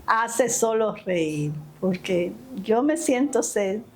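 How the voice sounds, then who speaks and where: A woman's voice: fairly high pitch, drawn-out words. An older woman with a Dominican accent, speaking conversationally as an interview guest and telling her recollections emphatically. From Dominican Republic, Santiago and the capital. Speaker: interview guest, community leader. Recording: steady ambient background noise.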